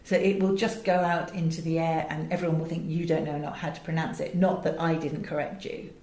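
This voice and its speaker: An English woman gives an interview in a fairly low voice. She is expressive and conversational, often interrupting herself mid-thought. The room around her is noticeably echoey. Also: brisk, a little hiss or hum. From England. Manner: chatty, expressive, self-interrupting. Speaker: interview guest, voice director.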